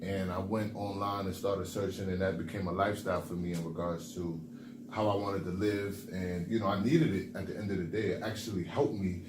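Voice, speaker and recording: A man's voice: low-pitched, steady tone, brisk. A young man from the Bronx, co-hosting a motivational podcast in a laid-back way, full of fillers. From the Bronx, New York. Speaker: podcast co-host. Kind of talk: casual motivational chat. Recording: echoey room with background noise.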